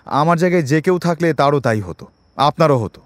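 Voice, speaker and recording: A man's voice: a little high, animated, brisk, dry recording, natural voice. An actor in an audio drama playing a defensive middle-class man who explains himself at length to the police, mixing in English words. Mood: defensive, uneasy. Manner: wordy, self-justifying, mixes in English words.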